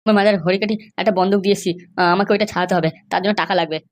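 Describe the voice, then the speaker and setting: A woman's voice: medium pitch, fairly even intonation, very fast, lightly acted. A woman voicing a brash young male suitor in a comedy cartoon. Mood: nervous, pleading. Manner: blustering, pleading. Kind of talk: amateur comedy cartoon dub.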